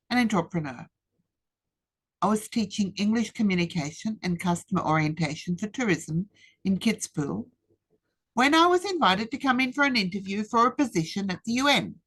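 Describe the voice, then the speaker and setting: A woman, giving a rehearsed webinar presentation in a measured, deliberate way with emphatic pauses. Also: medium pitch, a bit bright, husky. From Australia. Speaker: speaker. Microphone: webinar computer mic.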